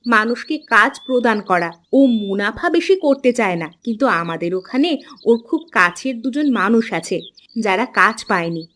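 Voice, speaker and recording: A woman's voice: on the high side, animated, unhurried, fairly bright tone. A woman playing a chatty, earnest young married daughter in a cartoon folk tale. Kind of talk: Bengali animated folk-tale dialogue. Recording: clear background noise, chirping birds.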